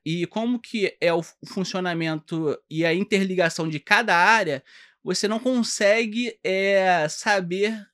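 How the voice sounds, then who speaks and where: A high-voiced man with a Carioca accent talks in a podcast interview. He explains things patiently, stopping often to restart his sentences and filling the pauses. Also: fairly bright tone. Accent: Carioca Brazilian Portuguese. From Rio de Janeiro, Brazil. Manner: halting, many fillers and restarts, explanatory. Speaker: podcast guest, doctor. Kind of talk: casual interview chat.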